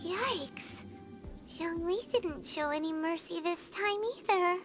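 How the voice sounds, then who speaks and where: A squeaky tiny companion creature. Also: female voice. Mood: startled. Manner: blunt, questioning, quick to react. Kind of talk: game cutscene voice acting. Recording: game music under the voice.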